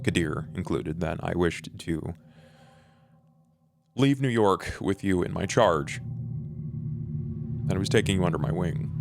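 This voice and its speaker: A man voicing a composed, world-weary male vampire with measured, formal phrasing in a tabletop game. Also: deep voice, creaky.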